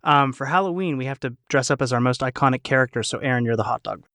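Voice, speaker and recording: A man's voice: mid-range voice, quick pace, a bit bright, no echo. Joking man in a dry close recording. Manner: jokey, digressive, speculative. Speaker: podcast panel member, cast of an audio drama. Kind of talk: casual panel chat.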